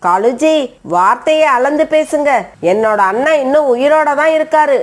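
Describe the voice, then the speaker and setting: A woman's voice: high-pitched, moderate pace, close to an everyday voice. A woman voicing a careless, defiant mother crow in a cartoon story, with an indignant, dismissive manner. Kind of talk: Tamil animated moral story acting.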